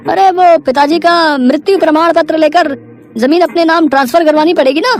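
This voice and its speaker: A woman's voice: very high voice, fairly quick, a little mellow, soft background music. A woman voicing a greedy, sly elder brother in a moral story, coaxing and falsely kind. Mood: casual, coaxing. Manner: coaxing, hesitant openings.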